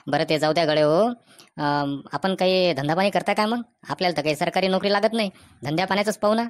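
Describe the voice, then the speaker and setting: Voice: medium pitch, quick pace, close to an everyday voice. Voice actor as chatty Varhadi village bachelor. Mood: practical. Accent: Varhadi Marathi from Vidarbha. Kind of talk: comic cartoon dialogue in village dialect.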